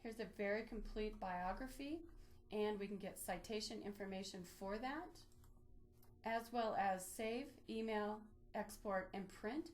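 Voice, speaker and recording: A woman's voice: medium pitch, unhurried. Woman giving a step-by-step tutorial. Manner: methodical, step-by-step explaining. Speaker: presenter of a library database tutorial. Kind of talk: instructional screen walkthrough, lightly improvised.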